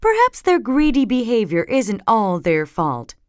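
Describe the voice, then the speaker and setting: A woman voicing a prim, sensible mother bear in a storybook, measured and gently admonishing. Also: fairly high pitch, wildly swooping pitch, clean tone, no room sound, clean studio sound, pitched above the performer's own voice. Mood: calm reasoning.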